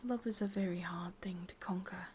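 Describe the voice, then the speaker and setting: A woman with an English accent playing an ancient, lovelorn witch in an ASMR roleplay, speaking intimately in soft, breathy whispers with musing pauses. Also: fairly low pitch, slight background noise, lightly acted. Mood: musing, guarded.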